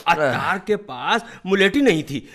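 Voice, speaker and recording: A simple, servile male servant in a radio drama. He talks slowly with lively swoops of pitch, eager and obedient. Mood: eager, deferential. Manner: deferential, obedient. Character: simple, servile manservant, eager and dim-witted. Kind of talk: Hindi radio play acting.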